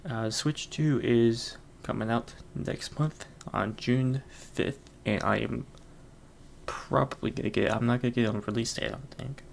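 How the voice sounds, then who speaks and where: A man's voice: medium pitch, fairly even intonation, normal speed, creaky, a little hiss or hum. A man hosting his own podcast alone, rambling casually with lots of fillers and frequent chuckles. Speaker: podcast host. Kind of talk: casual solo podcast chat.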